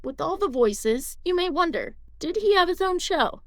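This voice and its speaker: A woman's voice: extremely high pitch, sing-song, normal speed, fairly bright tone. A woman reading scripted narration for a documentary.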